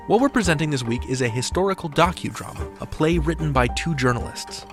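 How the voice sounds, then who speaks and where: A man hosting a podcast, giving a scripted introduction with steady, measured presenting. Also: fairly high pitch. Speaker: podcast host. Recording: voice over music.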